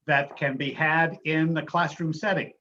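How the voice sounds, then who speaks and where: A man hosts an online discussion in an informal way. His phrasing is measured and hesitant, and the sound is dull, lo-fi video call audio. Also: fairly high pitch, steady tone, pushed and bright, a little hiss or hum. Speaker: discussion moderator. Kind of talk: informal moderating of an online talk.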